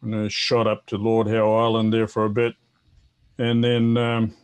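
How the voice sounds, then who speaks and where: Man, seventies, New Zealand accent, casual explanatory chat, halting delivery, video call from a home office. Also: lowish voice, completely flat delivery, clean tone. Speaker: guest, veteran amateur radio operator.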